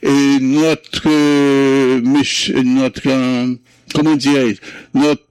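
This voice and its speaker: A man whose mother tongue is Creole, recalling in an interview how he founded a community Creole month, with many pauses, fillers and restarts. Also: medium pitch, flat delivery, darkish tone, clear, resonant tone, no room sound, low-quality recording. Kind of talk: interview recollection.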